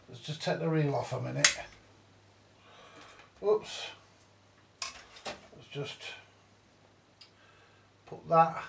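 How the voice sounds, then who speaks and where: British man, gravelly, muttering in a roomy space. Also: fairly high pitch, a little hiss or hum. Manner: muttering to himself, swearing mildly. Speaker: vintage audio repairer. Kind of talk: casual hands-on repair commentary. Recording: roomy echo, distant mic.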